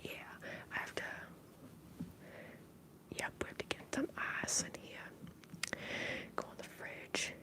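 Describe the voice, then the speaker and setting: A woman's voice: takes it slowly, long pauses, poor audio. Whispering woman with affected Southern American accent. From upper Midwest, United States. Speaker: livestream roleplay host. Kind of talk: whispered ASMR bartender roleplay and chat.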